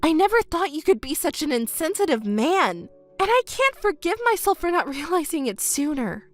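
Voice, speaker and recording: A woman's synthetic voice playing a scornful young woman confronting her cheating ex with sharp, cutting retorts in an audio drama. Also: high-pitched, very animated, moderate pace, soft background music. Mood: furious.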